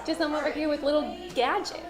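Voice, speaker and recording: A woman's voice: high-pitched, fast, loud music behind the voice. A woman making casual small talk with people around her. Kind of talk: casual chat. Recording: echoey hall, loud crowd chatter. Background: loud crowd chatter.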